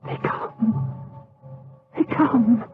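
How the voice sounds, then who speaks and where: An actor playing a desperate, doomed monk in a gothic audio drama, melodramatic and pleading. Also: male voice, very slow. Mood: fearful, resigned. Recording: band-limited lo-fi audio under loud music.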